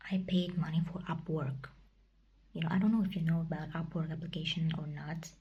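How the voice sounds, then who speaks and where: Young woman whispering a vlog, breathy and low, hesitant, stops a lot to find words. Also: steady tone, brisk, lo-fi sound. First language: Kazakh. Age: young adult. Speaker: vlogger. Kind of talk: casual personal vlog.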